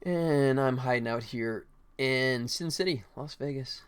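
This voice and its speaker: A man's voice: medium pitch, measured pace, smooth, faint music behind. A man chatting casually as a livestream host and indie developer, rambling and thinking aloud while he works.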